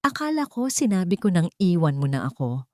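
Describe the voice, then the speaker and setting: A woman's voice: on the low side, very animated, fairly quick, no echo, clean studio sound. A woman voicing a weary, guarded, bitter woman in an audiobook drama. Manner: weak, guarded. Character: weary, bitter, wounded woman pushing a man away.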